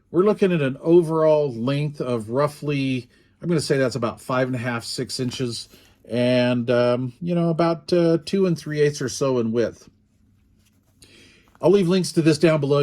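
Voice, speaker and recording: A man's voice: medium pitch, a little mellow. Easygoing man rambling through a demo. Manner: easygoing, rambling, frequent ums and uhs. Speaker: radio product reviewer. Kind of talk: casual hands-on product demo.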